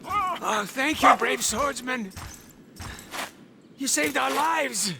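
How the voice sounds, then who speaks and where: A man playing an excitable, bossy old wagon-driving showman in a video game dub, blustery and exclaiming. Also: extremely high pitch, very slow, gravelly, heavy background noise, full character voice. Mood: grateful.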